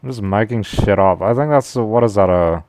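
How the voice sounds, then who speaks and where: A man with a deep voice, rambling casually and slangily to his stream audience. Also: fairly quick, darkish tone, a little hiss or hum. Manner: slangy, rambling, says "bro" a lot. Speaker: live streamer.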